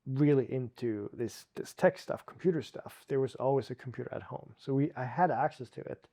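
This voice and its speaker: Mellow Swedish-accented man telling stories. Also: medium pitch, a little fast. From Sweden. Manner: talks with many restarts and "you know" fillers. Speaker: guest. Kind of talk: relaxed conversational storytelling.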